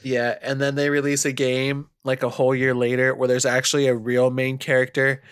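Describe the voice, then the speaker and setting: A man's voice: mid-range voice, monotone, a little fast, a bit bright, clear, resonant tone, no room sound, clean studio sound. A man co-hosting a casual podcast, with a nasal, stuffed-up voice and an upbeat, riffing manner. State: stuffy nose. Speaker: podcast co-host.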